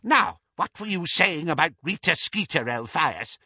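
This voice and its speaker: A man voicing a haughty, gossiping great-aunt witch over a hundred years old in a storybook, shrill, screechy and imperious. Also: very animated, unhurried, sharp tone, breathy edge, no room sound, muffled recording, heavily acted. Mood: gossipy, needling. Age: over 100. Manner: imperious, blunt, tipsy.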